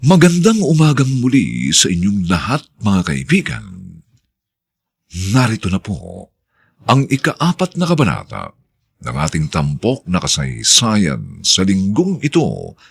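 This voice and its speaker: A man announcing a radio drama in a solemn, theatrical, poetic style with a husky voice. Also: fairly low pitch, dry recording.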